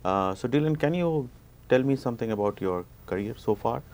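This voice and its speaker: A man's voice: medium pitch, animated, fairly quick, a little mellow. A man hosting a sports talk show, asking questions and patiently explaining the basics of the game. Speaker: show host. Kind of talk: interview hosting.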